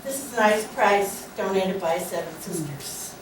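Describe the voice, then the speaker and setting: Slow, joking woman at a club meeting. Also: mid-range voice, expressive. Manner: joking, chatty, self-mocking, loud. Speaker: hospitality chair giving out door prizes. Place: meeting room. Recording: echoey room, distant mic, noisy.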